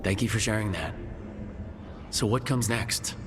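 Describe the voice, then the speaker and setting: A man's voice: fairly low pitch, a little slow, natural voice. Man as an earnest game hero in an echoing hall. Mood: attentive. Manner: plain-spoken, conversational. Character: earnest, resolute male hero leading a team. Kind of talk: video game dialogue acting. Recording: echoey hall, clear background noise.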